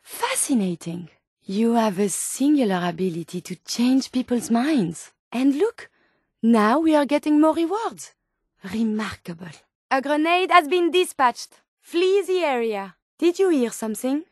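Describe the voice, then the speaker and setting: A woman's voice: high-pitched, lively intonation, unhurried, dry recording, clean studio sound. A woman voicing a polite, curious female robot scientist in a video game, with a French accent and formal, precise, clinical phrasing. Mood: alert, clinical. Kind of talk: video game companion dialogue lines.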